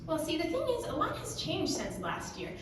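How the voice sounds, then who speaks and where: A young woman from Ukraine doing a stand-up comedy set on a club stage, with a wry, deadpan delivery and pauses for laughs. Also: very high-pitched, background noise. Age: young adult. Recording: echoey club from far off. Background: audience laughter.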